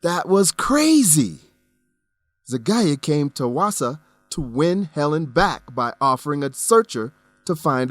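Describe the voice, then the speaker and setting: A man's voice: on the high side, no room sound. A man giving scripted narration as the presenter of an educational radio programme and language lesson. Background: music.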